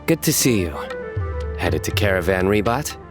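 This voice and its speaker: A stern young lawman whose voice sits low and steady. He delivers his puns deadpan, with soft game music underneath. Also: normal speed.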